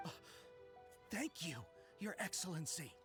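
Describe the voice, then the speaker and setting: Humbled soldier, very animated pitch. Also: male voice, measured pace. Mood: surprised, respectful. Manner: deferential, formal. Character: humbled, dutiful soldier, deferential to commander. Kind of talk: video game cutscene voice acting. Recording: loud music behind the voice. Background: game music.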